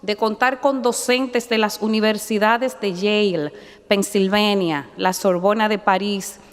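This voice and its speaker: A woman's voice: mid-range voice, fairly even intonation, measured pace, clear, resonant tone. A middle-aged woman judge, speaking formally and measuredly with devout asides, a little nervous. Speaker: judge and candidate. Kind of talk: formal hearing testimony. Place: large hearing chamber. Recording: long reverb in a hall.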